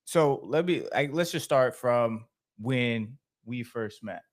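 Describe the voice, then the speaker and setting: A young man hosting a casual podcast, telling stories in a relaxed way with plenty of laughs and fillers. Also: medium pitch, slow. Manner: laid-back, storytelling, frequent laughs and fillers. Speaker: podcast host.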